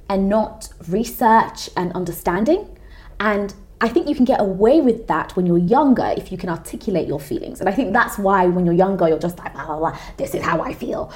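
A woman in her late twenties co-hosting a podcast in casual chat, animated and expressive, often laughing as she talks. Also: medium pitch. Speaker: podcast co-host.

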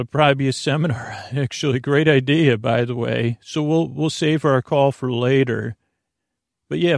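A man with a creaky, mid-pitched voice hosts a bedtime show, recorded very dry. He rambles in a drawn-out, halting way, wandering off on whimsical tangents and gently undercutting himself as he loses his thread. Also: no echo. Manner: hesitant, halting, with frequent digressions and lost trains of thought; drawn-out, whimsical, self-deprecating delivery. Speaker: host of a bedtime podcast. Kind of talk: rambling, meandering monologue to listeners.

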